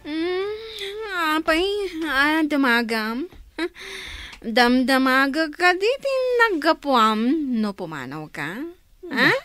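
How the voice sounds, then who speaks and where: An actress playing an insolent, taunting young wife in an Ilocano radio drama, with an Ilocano accent. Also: female voice, high voice, expressive, slow, pushed and bright, full character voice. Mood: mocking.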